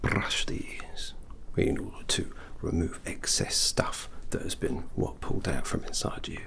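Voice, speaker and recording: A man's voice: sits low, unhurried, creaky, heavily acted. A man whispering as a chatty, muddled Victorian graverobber in an ASMR roleplay. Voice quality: soft whisper. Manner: rambling, muddled and chatty, loses count. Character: bumbling Victorian graverobber tending a corpse.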